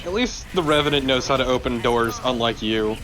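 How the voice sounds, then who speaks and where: A man's voice: medium pitch, lively intonation, a little fast, poor audio. A man co-commentating on a video game with casual, joking banter. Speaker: let's play co-commentator. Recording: game music behind the voice. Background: video game music.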